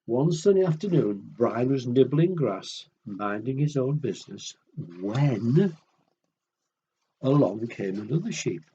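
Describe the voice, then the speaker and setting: A man reading a story aloud to young children in an expressive way, pausing for little asides and questions to his listeners. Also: medium pitch, dull audio, slight background noise.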